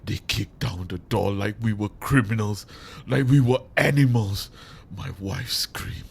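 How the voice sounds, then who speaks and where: A man acting a grieving, unhinged father turned vengeful villain in a dramatic audio monologue, with menacing, anguished delivery and long pauses. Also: fairly low pitch, creaky, slightly rough, heavily acted. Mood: anguished rage.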